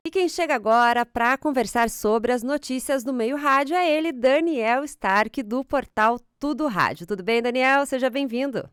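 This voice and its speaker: Crisp, dry-voiced woman host. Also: sits high, normal speed, hardly any pauses, a bit bright, no echo. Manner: upbeat, affirming. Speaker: podcast host. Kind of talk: hosting an interview podcast.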